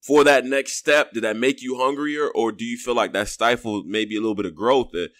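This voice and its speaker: A man's voice: mid-range voice, a little fast, a bit bright, no room sound, studio quality. A man hosting an interview, asking long, rambling questions in a loose, slangy way. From born in Houston, raised in Rochester, New York. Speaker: interview host. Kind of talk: casual interview chat.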